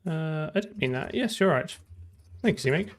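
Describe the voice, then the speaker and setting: A man's voice: a little high, expressive, normal speed. A man thinking aloud in casual live coding commentary, muttering to himself and trailing off mid-thought. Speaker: programmer livestreaming game development.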